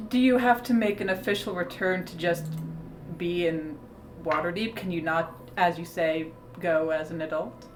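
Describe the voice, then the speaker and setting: A woman's voice: on the low side, normal speed, background noise. Chatty woman playing a tabletop game. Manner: chatty, peppered with "like". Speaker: player. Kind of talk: casual tabletop game chat. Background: music.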